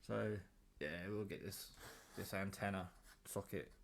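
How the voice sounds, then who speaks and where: Low-voiced British man, casual DIY vlogger. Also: a little slow, vocal fry, slight background noise. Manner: rambling, informal, mildly sweary. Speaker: hobbyist vlogger presenting own radio build. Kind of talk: casual unscripted demonstration talk. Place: home kitchen.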